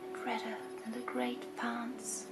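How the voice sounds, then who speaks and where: A woman voicing a grave, sorrowful rose tree in a fairy tale, measured and half-whispered. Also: a little high, completely flat delivery, roomy sound, loud music behind the voice, rough recording. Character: slow, solemn, sorrowful old rose tree.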